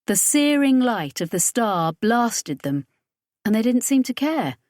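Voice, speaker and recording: A woman's voice: fairly high pitch, big pitch swings, measured pace, a little mellow, no echo. A woman reading scripted narration from a science fiction audiobook.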